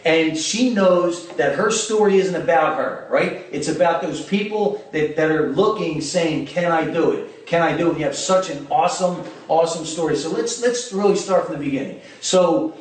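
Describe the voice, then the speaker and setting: A middle-aged man hosting a motivational interview, talking with upbeat, energetic hype. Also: fairly high pitch, moderate pace, few pauses. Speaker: host. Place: indoor event room. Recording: echoey room, distant mic.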